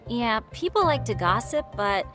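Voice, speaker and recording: A woman voicing an easygoing, gossipy high school girl in a video game dub, casual and chatty. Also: very animated, moderate pace, over music.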